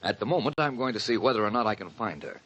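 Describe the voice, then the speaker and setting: An actor playing a suave, dryly witty gentleman detective in a 1940s radio drama, measured and deductive. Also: fairly low pitch, animated, slight background noise. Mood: deducing.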